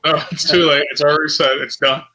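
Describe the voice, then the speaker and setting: A man's voice: a little high, level tone, brisk, a crowd in the background. A man playing a tabletop role-playing game, talking casually with a teasing, needling manner. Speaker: player, gunner.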